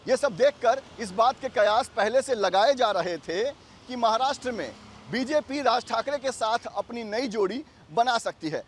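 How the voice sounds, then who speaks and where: Very high-pitched male news reporter outdoors. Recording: faint outdoor street and traffic noise. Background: faint urban outdoor noise.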